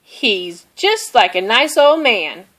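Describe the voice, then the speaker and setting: A woman's voice: a little high, sing-song, slow, a bit bright, voice pushed up, full character voice. A woman reading the part of an eager young farm boy in a children's storybook, with simple, emphatic delivery. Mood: delighted.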